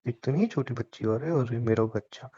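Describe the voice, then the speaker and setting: A man's voice: mid-range voice, normal speed, mellow, husky. Young man teasing on a dull phone line. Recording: dull lo-fi phone call recording.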